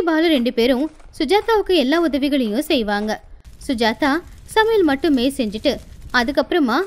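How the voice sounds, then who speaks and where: A woman with a high voice tells a scripted moral tale as its narrator. She delivers it as storytelling for an animated story.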